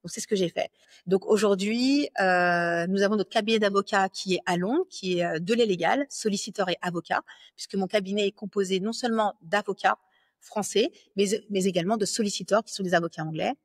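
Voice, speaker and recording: Woman, French, thirties, interview guest, medium pitch, confident, fluent, fillers and restarts, dry close sound. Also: a little fast, no room sound. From France. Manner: fluent, self-assured, many fillers and self-corrections. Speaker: business lawyer guest. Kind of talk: interview conversation.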